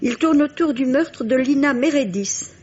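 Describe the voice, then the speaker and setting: A slightly husky woman reading a scripted book review on the radio in a deliberate, literary manner. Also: high-pitched, darkish tone. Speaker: book critic. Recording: dull, lo-fi vintage radio recording.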